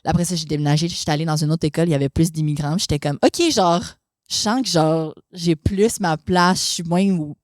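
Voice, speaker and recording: A woman's voice: low voice, fairly quick, darkish tone, no echo, clean studio sound. A young woman with a Québécois accent, a podcast guest chatting casually and dropping English phrases. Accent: Québécois French. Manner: chatty, mixes English expressions, laughs often. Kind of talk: casual conversation.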